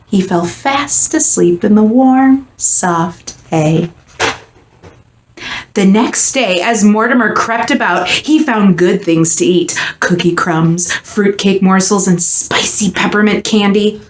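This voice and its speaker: A woman reading a children's picture book aloud with expressive, dramatic and deliberate storytelling. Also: mid-range voice. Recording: echoey room, faint background noise.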